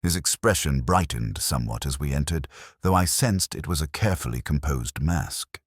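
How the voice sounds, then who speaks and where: Male audiobook narrator, very deep and expressive, formal literary delivery, dry recording. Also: normal speed, husky, no room sound. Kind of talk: scripted dramatic story narration.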